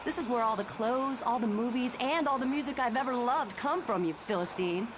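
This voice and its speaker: A woman as a slightly high-voiced bantering superheroine. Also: brisk, natural voice. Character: bantering, defensive young superheroine. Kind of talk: motion comic voice acting. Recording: clear background ambience noise.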